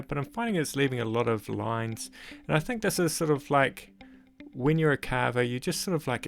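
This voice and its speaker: Croaky, gravelly man explaining carving. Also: medium pitch. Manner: relaxed, rambling, lots of "sort of, like". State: croaky voice after illness. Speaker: woodcarving channel host. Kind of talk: casual voice-over tutorial.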